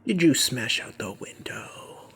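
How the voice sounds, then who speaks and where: A man giving casual, rambling commentary over a video game, musing about himself and often dropping to a soft near-whisper. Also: mid-range voice, very animated, takes it slowly. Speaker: let's play commentator.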